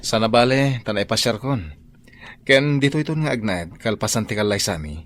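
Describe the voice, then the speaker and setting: An actor playing an earnest, devoted young suitor in an Ilocano radio drama, with an Ilocano accent. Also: male voice, on the low side, lively intonation, normal speed, a bit bright. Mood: gentle. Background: background music.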